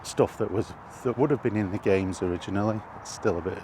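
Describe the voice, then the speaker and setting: A British man giving rambling, casual commentary while walking outdoors, halting and thinking aloud as he goes. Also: on the low side. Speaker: video host. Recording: outdoor ambient noise throughout.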